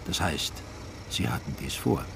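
A man narrating a literary radio play in a wry, measured storytelling manner. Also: a bass voice, expressive, creaky, noisy background.

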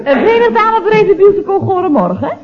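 Casting: curious female secretary, high swooping voice, chirpy, muffled vintage radio comedy. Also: big pitch swings, fast, a crowd in the background. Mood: sweetly chirpy. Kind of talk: 1970s Dutch radio comedy acting.

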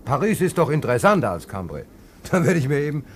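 An actor playing a flustered, evasive fare dodger in a radio play, stammering and repeating himself ingratiatingly. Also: male voice, on the high side, very animated, fast, a little hiss or hum. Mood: feigned surprise. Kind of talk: German radio play acting.

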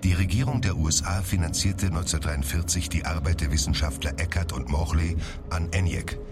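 A man's voice: rumbling low, steady tone, fairly quick. A man playing a formal, factual history lecturer in a radio play. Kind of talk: scripted documentary narration in radio play. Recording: very loud background noise.

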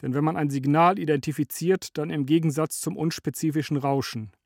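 A man narrating a scripted radio essay in a measured, lecturing way. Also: a little high, steady tone, creaky, a little mellow.

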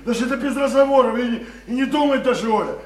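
A man's voice: very high voice, quick pace, roomy sound. An actor playing a tipsy, coarse working-class father in a radio play, pleading in stammering, repetitive bursts. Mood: coaxing. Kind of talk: theatrical radio play acting.

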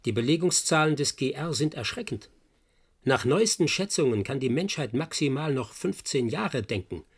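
An actor playing an intense, defiant, protective scientist in a German radio drama, with a husky voice. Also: male voice, mid-range voice, animated, normal speed. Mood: resolute, matter-of-fact.

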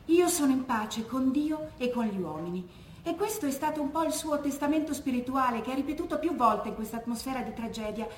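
An actress playing a composed, devout, well-spoken daughter of an executed prisoner in a solemn theatrical monologue. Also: female voice, sits high, expressive, fairly quick. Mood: solemn, moved. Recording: roomy echo, faint background noise.

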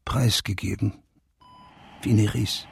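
A top cop in a radio thriller, low raspy voice, sober, dry, measured. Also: male voice, fairly even intonation, exaggerated voice. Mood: pensive, probing. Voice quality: low, husky. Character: male senior police official, sober and wry. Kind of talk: German radio crime drama acting.

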